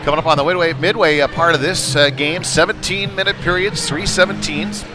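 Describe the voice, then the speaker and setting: A man's voice: fairly high pitch, steady tone, a little fast. Man calling live hockey, music behind. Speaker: play-by-play hockey commentator. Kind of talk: live youth hockey play-by-play commentary. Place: indoor ice rink. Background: music over rink PA.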